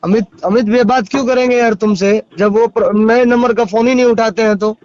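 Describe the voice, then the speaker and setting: Male caller, Bhojpuri accent, high-pitched, admonishing in an argumentative conversation over a muffled phone recording. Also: steady tone, brisk, a little mellow. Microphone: phone call.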